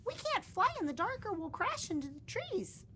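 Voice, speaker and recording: A woman voicing a shy, earnest young female fruit bat in a high childlike voice. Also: sing-song, moderate pace, bright, forceful tone, a little hiss or hum, heavily acted. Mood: startled.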